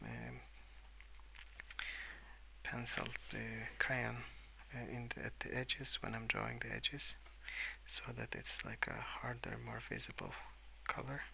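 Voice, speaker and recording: A man speaking softly and hesitantly in a rambling ASMR style, often slipping into whispers at a desk indoors. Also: mid-range voice, level tone, takes it slowly, vocal fry, a little hiss or hum, rough recording. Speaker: host of a colouring ASMR channel. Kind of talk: soft-spoken rambling ASMR. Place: at a wooden desk indoors, with pencil sounds.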